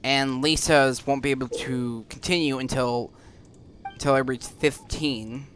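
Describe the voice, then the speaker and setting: A man hosting a gaming video, explaining things informally in a halting, casual way as he plays. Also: medium pitch, fairly even intonation, a bit bright, slight background noise. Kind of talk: casual gameplay commentary. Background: faint game music.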